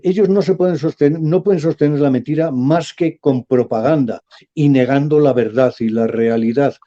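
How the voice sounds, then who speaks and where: A man, a professor speaking as a guest in a radio interview, measured and emphatic. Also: on the high side, warm tone, dull audio.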